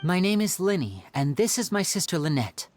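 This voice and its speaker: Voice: medium pitch, very animated, normal speed, a little mellow, slight background noise, natural voice. An actor playing a polite, composed young male magician in a video game, courteous and reassuring as he explains things. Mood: polite, earnest.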